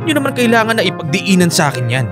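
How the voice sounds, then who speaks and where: Troubled young Filipino man, very expressive. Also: high-pitched, rapid-fire. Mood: defensive, irritated. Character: troubled, defensive young Filipino husband and son. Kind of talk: radio drama acting. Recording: studio drama with clear music bed.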